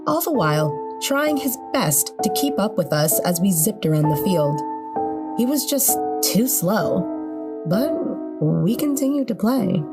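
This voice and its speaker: A woman's voice: medium pitch, big pitch swings, measured pace, loud music behind the voice. A woman reading scripted narration as the narrator of a horror podcast.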